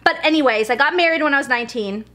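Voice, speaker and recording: German girl in her late 20s vlogging to camera, pretty high voice, super chatty, lots of um and like. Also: racing, bright, forceful tone. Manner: chatty, candid, lots of fillers and self-asides. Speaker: lifestyle vlogger. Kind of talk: casual talk to camera.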